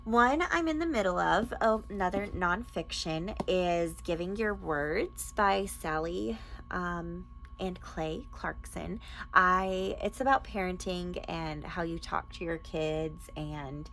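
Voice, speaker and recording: Woman, lowish creaky voice, informal and enthusiastic vlog delivery, faint background noise. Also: takes it slowly, fairly bright tone, low-quality recording. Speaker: book reviewer and podcast host. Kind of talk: casual chatty book recommendation vlog.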